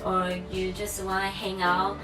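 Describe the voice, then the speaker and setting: A woman's voice: mid-range voice, completely flat delivery, measured pace, low-quality recording. A young woman vlogger whose first language is Mandarin, chatting casually and encouragingly to her viewers, with plenty of fillers. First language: Mandarin Chinese. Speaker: travel vlogger. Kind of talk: casual vlog talk to camera. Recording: music playing behind.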